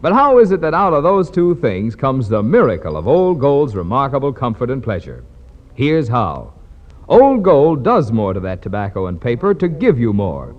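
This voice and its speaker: A man announces a 1940s radio commercial with a polished, emphatic pitch. His voice is slightly high and swings very widely in intonation. The old recording is muffled, with a long hall reverb. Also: huge pitch swings, moderate pace. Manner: polished, emphatic salesman delivery.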